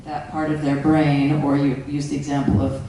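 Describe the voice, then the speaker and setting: A moderator asking slow, measured questions at a panel, recorded from far off in an echoey hall. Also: medium pitch, flat delivery, warm tone, a little hiss or hum. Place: large conference hall.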